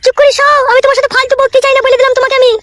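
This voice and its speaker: Woman putting on a shrill, squeaky young cartoon wife. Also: flat delivery, rapid-fire, a bit bright, a little hiss or hum. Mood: snappish, defiant. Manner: shrill, snappish, dismissive. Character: defiant young wife, meek toward her father-in-law. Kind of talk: comic cartoon dialogue.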